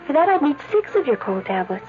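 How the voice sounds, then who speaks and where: An actress playing a brisk, know-it-all wife, matter-of-fact and corrective, in a 1970s radio commercial sketch. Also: female voice, high voice, very animated, phone-quality sound.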